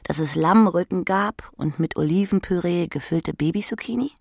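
A woman in her thirties narrates literary prose for an audio drama. Her voice is fairly low with a lot of fry, recorded very dry and close. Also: moderate pace, darkish tone, dry recording. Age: 30s.

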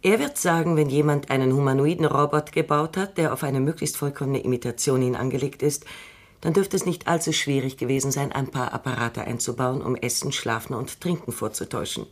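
A woman playing a cool, sharp-witted robot psychologist in a radio play, dry, precise and logical. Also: a bass voice, fairly quick. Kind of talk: German radio play acting.

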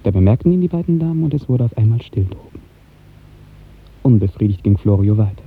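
Low, very husky male radio narrator. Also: lively intonation. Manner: measured, poetic storytelling delivery. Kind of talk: literary scripted narration, 1950s radio drama. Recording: muffled narrow 1950s radio recording.

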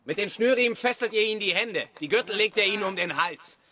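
A man playing a plucky, commanding travelling actor in a German children's radio drama, theatrical and quick-witted. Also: high-pitched, sharp tone. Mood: commanding, triumphant. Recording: music behind the voice.

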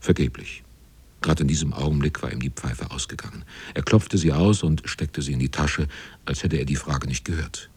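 An actor playing a grave seafarer who narrates a radio drama in measured, terse literary phrases with a husky voice. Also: male voice, a little mellow, heavily acted. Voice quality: very deep, husky. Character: grave seafarer recounting a hard voyage.

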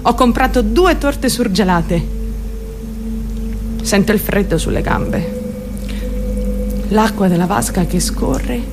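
A naive, wounded teenage girl confides in her listeners in an intimate theatrical radio monologue. She is lovestruck, speaks straight to her audience and leaves dramatic pauses, with music clearly playing under her voice. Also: mid-range voice, lively intonation. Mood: hurt, subdued.

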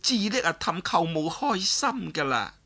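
A man with a Cantonese accent voicing a vain, scheming older woman in a story, coy and easily flattered. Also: fairly high pitch, moderate pace, pitched above the performer's own voice. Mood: delighted, coy.

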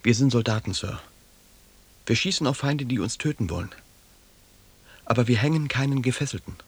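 Husky, defiant soldier played by a man. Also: medium pitch, normal speed, lightly acted. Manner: firm, emphatic. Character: proud, defiant male soldier refusing an order. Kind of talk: German radio drama acting.

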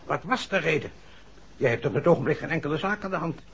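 Fairly high-voiced steady police inspector. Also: male voice, fast, creaky. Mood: puzzled, pondering. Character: steady, practical police inspector, calmly taking charge. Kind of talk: 1960s Dutch radio play acting. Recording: dull, noisy old radio recording.

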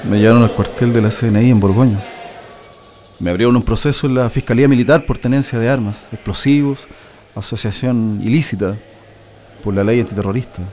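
A man playing a Chilean political prisoner in a radio drama, guarded and halting in his speech. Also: lowish voice, a little mellow, a little hiss or hum, lightly acted. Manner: halting, stammering.

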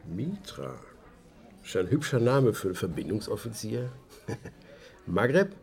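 A hesitant working-class man in a radio drama. His low voice has a heavy creak. He speaks laconically, with a wry, sceptical edge. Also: very animated, a little slow, darkish tone. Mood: dry, wry. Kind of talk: German radio drama acting.

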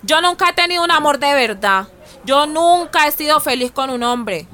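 A woman's voice: sits high, animated, moderate pace, sharp tone. An actress playing a fiery, defiant activist mother in a radio drama, emphatic and colloquial, with a Colombian accent. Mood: pleading.

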